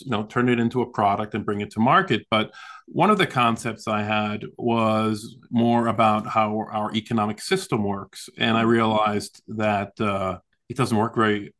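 A man explaining conversationally as an interview guest, with halting, hesitant phrasing. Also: on the low side, fairly bright tone. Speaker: guest, author.

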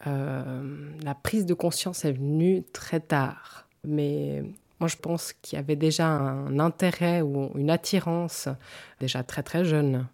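A woman in her forties with a very low voice talks through her story in an interview. Her tone is warm and mellow, and she speaks thoughtfully and with some hesitation. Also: steady tone, slow, creaky. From canton of Vaud, French-speaking Switzerland. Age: 40s. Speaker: interview guest. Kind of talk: personal testimony in an interview.

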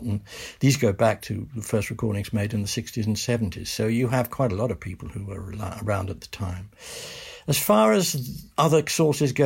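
An older man from Britain talks through history as an interview guest. His voice is gravelly and a little husky, lower than most. He answers in a measured, thoughtful way, now and then restarting a sentence. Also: a little mellow.

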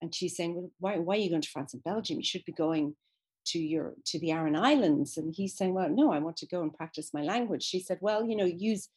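A woman's voice: fairly low pitch, fairly quick, a little mellow, slightly rough. A woman speaking as a guest in an interview, explaining at length in a thoughtful way with frequent fillers and restarts. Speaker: guest expert on literature. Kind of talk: conversational interview.

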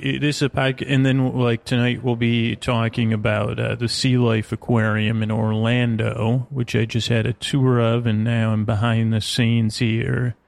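A man with a creaky voice hosting a bedtime podcast, meandering haltingly through lulling tangents. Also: medium pitch, a little mellow. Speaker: podcast host. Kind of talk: rambling bedtime podcast monologue.

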